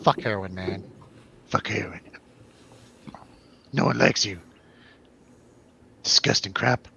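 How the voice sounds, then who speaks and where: Bright, forceful man chatting. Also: fairly low pitch, slow pace, gravelly, husky. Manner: rambling, animated storytelling, casual swearing. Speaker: film review show host. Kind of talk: casual chat, film review over drinks.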